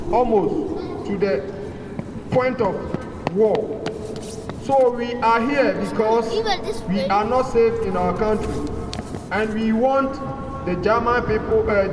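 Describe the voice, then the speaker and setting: Slow-speaking man at an outdoor rally, echoey and distant. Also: high voice, background noise. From Ghana. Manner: slow, halting, with restarts. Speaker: protest speaker. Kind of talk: rally speech. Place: outdoor street demonstration.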